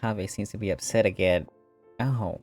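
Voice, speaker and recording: A woman commenting on a game cutscene with quick reactions and laughing asides. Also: a bass voice, darkish tone, soft background music. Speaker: gameplay commentator.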